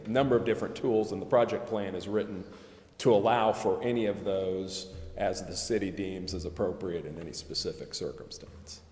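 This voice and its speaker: A man's voice: deep voice, a little mellow. A man giving an explanatory presentation at a council meeting in a chamber. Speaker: presenter explaining a project plan. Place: large indoor council chamber. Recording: very echoey hall with background noise.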